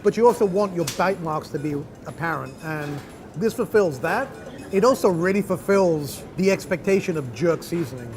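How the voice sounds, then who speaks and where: High-voiced man tasting barbecue. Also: expressive, moderate pace, a little mellow, faint music behind. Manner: enthusiastic, descriptive, savoring. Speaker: food show host. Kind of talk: food tasting commentary.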